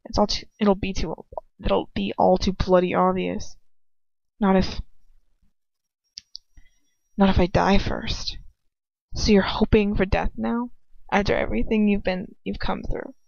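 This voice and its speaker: Woman doing a anguished young heroine from a story, torn and halting, creaky, dry lo-fi sound. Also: medium pitch, flat delivery, darkish tone, no room sound. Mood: anxious, despairing. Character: frightened, despairing young woman narrating her own inner turmoil. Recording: dull, muffled lo-fi home recording.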